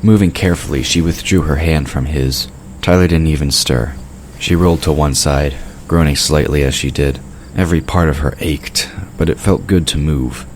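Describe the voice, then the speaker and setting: A man's voice: very deep voice, moderate pace. A man reading scripted third-person narration for a fantasy audio drama. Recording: clear background noise of night crickets.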